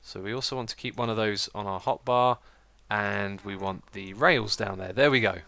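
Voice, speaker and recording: A British man giving casual, chatty gameplay commentary as a let's play host, with a slightly croaky voice and a self-mocking manner. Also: fairly low pitch, moderate pace, sharp tone, no room sound. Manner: chatty, self-mocking, laughs at own slips.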